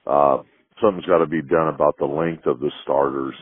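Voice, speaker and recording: A man leaving a halting, plain-spoken voicemail with his opinion on sports. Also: a bass voice, steady tone, slightly rough, no echo. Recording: muffled narrowband phone voicemail.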